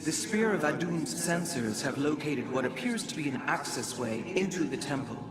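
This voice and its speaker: A man's voice: on the high side, expressive, normal speed, natural voice. A man playing a dutiful alien starship officer in a science fiction game, giving a formal military report. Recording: long reverb, loud music behind.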